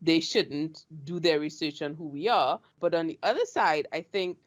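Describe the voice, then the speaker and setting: A woman from Trinidad with a Trinidadian accent hosting an interview, chatty and animated as she tells her own stories. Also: deep voice, normal speed. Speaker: show host. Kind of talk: casual interview chat.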